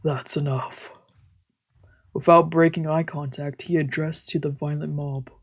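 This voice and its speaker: A woman streamer with a breathy voice reading visual novel text aloud as dramatic narration. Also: low voice, level tone, normal speed. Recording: game music behind the voice. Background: game music.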